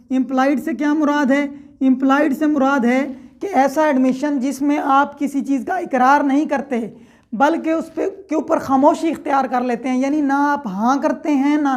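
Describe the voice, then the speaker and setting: A woman's voice: high voice, a little mellow. A woman teaches as a lecturer. She explains methodically with examples, restating each point and checking that it is understood. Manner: didactic, step-by-step, repeats points and checks understanding. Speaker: law lecturer.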